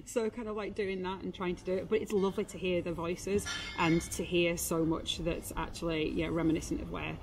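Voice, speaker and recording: A woman's voice: fairly low pitch, flat delivery, fairly quick, hardly any pauses, music in the background, rough recording. A woman from Yorkshire chatting enthusiastically about auditioning, laughing often. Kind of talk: casual interview chat. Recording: crowd chatter behind.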